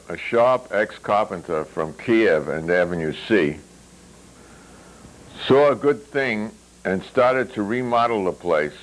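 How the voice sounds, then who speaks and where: A man reading a novel aloud as its author, with wry, deliberate phrasing and hesitant asides. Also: on the low side, poor audio. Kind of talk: literary reading with spoken asides.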